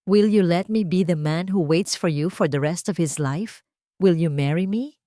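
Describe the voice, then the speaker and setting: A woman voicing a devoted, tender man in a storybook romance, speaking in an earnest, emotional, measured way. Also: lowish voice, dark tone, no echo, studio quality, natural voice. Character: devoted, tender man proposing to his beloved.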